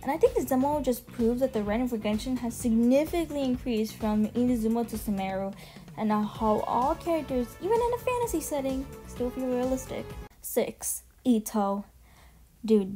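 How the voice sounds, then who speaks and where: A young woman with a fairly high voice and a slightly soft, mellow tone records a casual commentary voice-over. She talks like an enthusiastic fan, full of slang and casual swearing, with music playing behind her. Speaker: video essay host.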